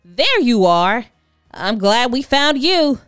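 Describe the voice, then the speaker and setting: A woman voicing a kindly female bird schoolteacher in a children's storybook, measured and reassuring. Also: fairly high pitch, sing-song, fairly bright tone, clear, resonant tone, no room sound, clean studio sound, faint music behind, pitched above the performer's own voice, full character voice. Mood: relieved.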